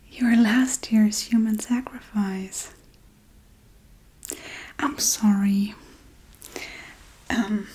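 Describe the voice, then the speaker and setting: Woman acting a whispering, breathy Halloween witch. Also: medium pitch. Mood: muttering, curious, then apologetic, musing. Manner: slow, gentle, absent-minded muttering. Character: forgetful, darkly playful Halloween witch preparing spells. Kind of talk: soft-spoken ASMR role-play.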